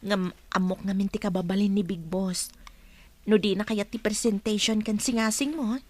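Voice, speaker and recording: An actress playing a wary, probing, businesslike office senior in a radio drama. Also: female voice, medium pitch, steady tone, moderate pace, lightly acted.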